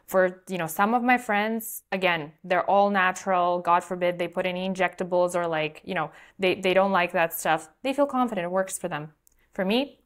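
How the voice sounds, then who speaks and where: A young woman from Moldova, a podcast host whose first language is Russian, chatting casually with lots of likes and you-knows. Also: mid-range voice. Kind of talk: casual interview chat. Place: bright room with large window.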